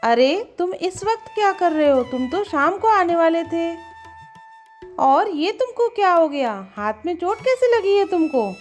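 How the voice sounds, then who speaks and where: Cartoon farmer's wife, woman performer: very high voice, dramatic village homemaker with a scheming streak. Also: sing-song, a little slow, faint music behind, heavily acted. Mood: concerned. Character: farmer's scheming, later remorseful young wife. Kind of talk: Hindi moral story cartoon dialogue.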